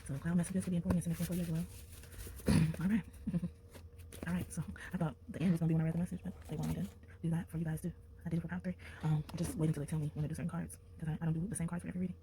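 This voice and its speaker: A young woman reads tarot in a rapid tumble of words, and her low, mellow tone is thickened by a slight congestion. She talks loosely and chattily, laughing often and filling gaps with "um" and "like". She sits close to the mic, with a faint hiss of noise behind her. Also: flat delivery, low-quality recording. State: slightly congested from a sinus infection. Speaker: tarot reader. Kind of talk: casual pick-a-card tarot reading. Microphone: close to a desk microphone.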